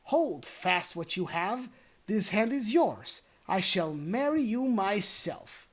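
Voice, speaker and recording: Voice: mid-range voice, sing-song, slow pace, voice pushed up. A storybook reader voicing a jovial, boastful old male goblin patriarch. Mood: jovial, commanding. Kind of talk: storybook character voice.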